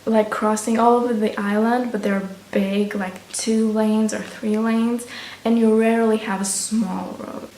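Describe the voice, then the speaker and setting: A woman's voice: mid-range voice, darkish tone. A young woman with a Romanian accent, chatting casually to camera in a rambling, hesitant way as a vlogger. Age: young adult. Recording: roomy echo, distant mic, faint noise.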